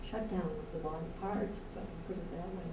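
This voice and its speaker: A woman in a community meeting room joins a book discussion, pausing to pick her words. She sounds distant and roomy, as if caught by a camera at the back of the room. A steady hiss sits under her voice. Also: fairly low pitch. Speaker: audience member in a book discussion. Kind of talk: casual discussion. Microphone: far room camera. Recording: echoey room recorded from far off, faint hiss.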